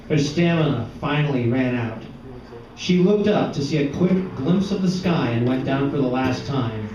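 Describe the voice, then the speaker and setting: A man reading a story aloud as dramatic, deliberate literary narration in an indoor gallery. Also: medium pitch, warm tone. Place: echoey indoor art gallery. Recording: echoey room, distant mic, dull sound, music behind. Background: plucked guitar music.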